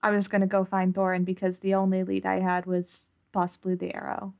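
A woman's voice: mid-range voice, monotone, fairly quick, gravelly, a little mellow. A woman playing a tabletop game, casually describing what her character does.

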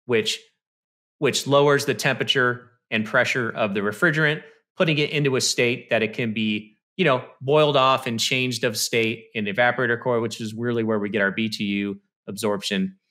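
A man in his thirties giving a relaxed, step-by-step technical presentation over slides, recorded on a studio microphone through a video call. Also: mid-range voice, brisk. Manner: conversational, explains step by step with frequent "you know" and "okay". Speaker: HVAC presenter. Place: small indoor room. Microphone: studio mic on stand with headphones, video call.

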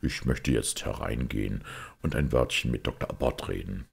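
Male reader as calm, knowing priest sleuth for an audiobook: rumbling bottom register, husky, slow, understated. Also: full character voice. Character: calm, shrewd, smiling priest detective.

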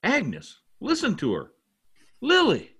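A man's voice: very high voice, wildly swooping pitch, slow, a bit bright, breathy edge, full character voice. A man in his sixties playing a grandiose, melodramatic, blustering husband in an old-time radio comedy over a video call. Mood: alarmed, dramatic. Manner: theatrical, grandiose, overwrought. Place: home office, video call. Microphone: computer mic on video call.

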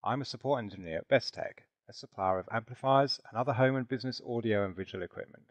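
A man narrating a software demonstration in a chatty, informal way, with conversational asides. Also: medium pitch, quick pace, no echo. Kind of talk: conversational product demo narration with role-play.

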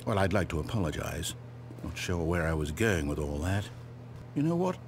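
A man's voice: low voice, very animated, normal speed, gravelly, noisy background, exaggerated voice. A man with a British accent playing a fussy, whimsical, easily exasperated storyteller in a video game, conversational and theatrical. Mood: sheepish. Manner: conversational, self-interrupting, dramatic. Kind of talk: theatrical scripted narration.

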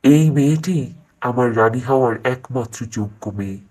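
A man's voice: medium pitch, moderate pace, a little mellow, lightly acted. A man voicing an arrogant middle-aged sorcerer king in a fairytale cartoon, self-assured, wooing and scheming. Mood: scheming, smitten.

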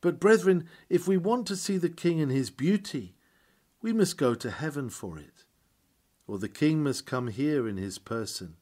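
A man reads a sermon aloud with solemn, earnest delivery. His voice sits a little high yet has a soft, mellow tone. He declaims in an oratorical style, pausing for dramatic weight. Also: lively intonation. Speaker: sermon reader.

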